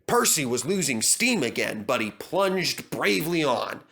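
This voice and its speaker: A man's voice: mid-range voice, sing-song, a little slow, creaky, sharp tone. A man reading a scripted children's story as its narrator.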